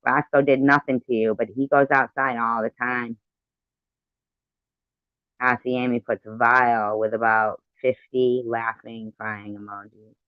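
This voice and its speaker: A man's voice: medium pitch, flat delivery, measured pace, smooth, no room sound. Guy on a dry tinny line doing blunt commentary, kinda indignant. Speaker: host of a commentary stream. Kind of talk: casual commentary, reacting to played audio. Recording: narrow tinny phone-like sound, dry.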